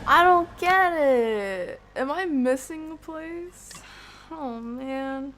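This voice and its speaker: A woman live-streaming a horror video game, chatting casually with viewers in an excitable, slangy, exclaiming way. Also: high-pitched, drawn-out words, slight background noise. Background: game music.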